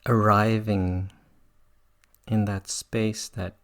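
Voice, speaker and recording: A meditation teacher gently guiding listeners through a practice in an unhurried way. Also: medium pitch, level tone. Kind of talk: guided meditation talk.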